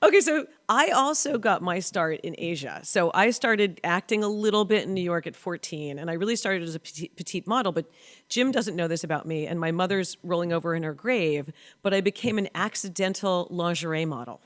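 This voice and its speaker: A woman's voice: on the low side, expressive, a little fast. Chatty woman host telling stories. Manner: laughing, storytelling. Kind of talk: casual chat. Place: home studio with a lit backdrop. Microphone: studio mic on a boom arm.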